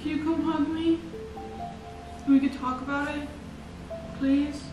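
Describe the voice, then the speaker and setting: A woman's voice: high voice, level tone, takes it slowly. A young woman talking in a concerned, coaxing way to someone at home, picked up by a distant camera. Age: young adult. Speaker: co-host, fiancée. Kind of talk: casual conversation. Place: house interior. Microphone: far camera. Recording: echoey room from far off with music.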